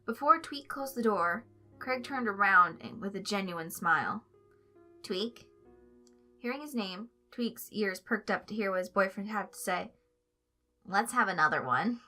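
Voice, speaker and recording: A woman reading a story aloud as an expressive narrator, following a script. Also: mid-range voice, moderate pace, soft background music. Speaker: narrator reading fan fiction.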